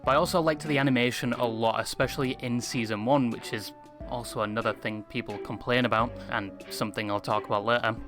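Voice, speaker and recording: A man's voice: medium pitch, fairly even intonation, fast, over music. A man reading scripted review narration for a video essay, with a sardonic, teasing manner. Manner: sardonic, joking insults at the viewer.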